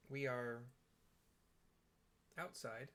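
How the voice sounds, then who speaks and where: A man casually describing a video game's cutscenes as they play, in a relaxed way and often correcting himself. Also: medium pitch, extremely slow. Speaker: let's play narrator. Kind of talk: casual commentary on a game.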